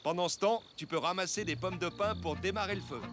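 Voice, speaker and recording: A man voicing a kindly, jovial animal father in a children's cartoon, friendly and gently playful. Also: high-pitched, fast, natural voice. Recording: loud music behind.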